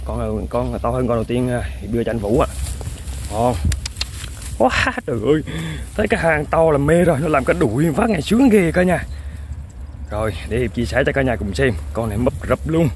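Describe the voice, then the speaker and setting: A young man excitedly commenting to viewers while fishing outdoors, exclaiming often. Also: medium pitch, brisk, slight background noise. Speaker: fishing vlogger. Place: outdoors in fields.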